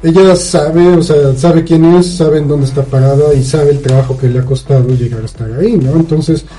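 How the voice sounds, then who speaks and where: Mellow, soft-toned Mexican man chatting. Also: on the high side, moderate pace, soft background music, rough recording. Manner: rambling, colloquial, frequent tag questions. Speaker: podcast co-host. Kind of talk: casual chat, recounting a film plot.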